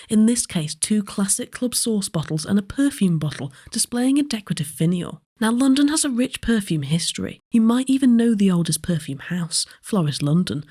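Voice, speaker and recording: Mellow, slightly husky female narrator. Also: mid-range voice. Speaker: history presenter. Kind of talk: scripted narration.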